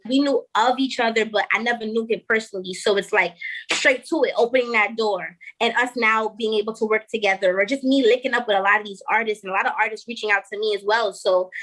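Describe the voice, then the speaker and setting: A young woman with a Trinidadian accent, a rapper chatting quickly and laughing often as a guest on a video call. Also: a little high, fairly bright tone, low-quality recording. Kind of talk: casual interview chat.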